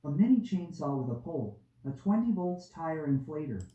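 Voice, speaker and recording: A man's voice: on the high side, sing-song, moderate pace, warm tone. A man's artificial, robotic synthetic voice reading an automatically dubbed narration. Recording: dull, roomy, distant playback. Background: played back from an online video.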